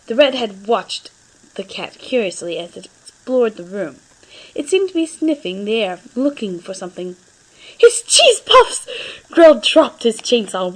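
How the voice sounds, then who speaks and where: A woman narrating a humorous fan story as an expressive, theatrical dramatic reading. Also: fairly high pitch, rough recording.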